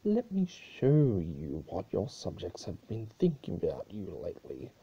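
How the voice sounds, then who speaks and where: A man's voice: low voice, wildly swooping pitch, measured pace, mellow, breathy edge, highs cut off, heavily acted. A man voicing a mischievous male spirit of chaos in a theatrical, needling story reading. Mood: sly, needling. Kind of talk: dramatic fan-fiction reading.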